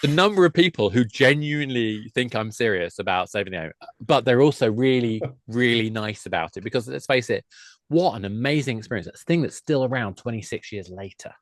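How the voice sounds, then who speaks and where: A man's voice: mid-range voice, lively intonation, a little fast, no echo. A middle-aged man speaking as a podcast guest, chatting in a self-deprecating, conversational way about his work. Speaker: podcast guest, games industry expert. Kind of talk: conversational interview talk.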